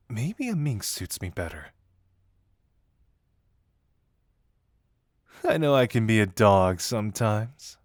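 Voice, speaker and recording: Flirty, self-deprecating male detective, played by a man, for romantic roleplay audio. Expressive pitch, hesitant and sincere, dry intimate sound. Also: no echo. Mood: tender, self-deprecating. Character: flirty, self-deprecating detective confessing shyly as secret admirer.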